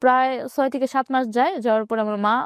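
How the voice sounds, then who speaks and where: Interview guest: Bangladeshi woman, twenties, slightly high voice, rapid plain storytelling. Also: steady tone, clear, resonant tone, no room sound. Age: 20s. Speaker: interview guest telling own life story.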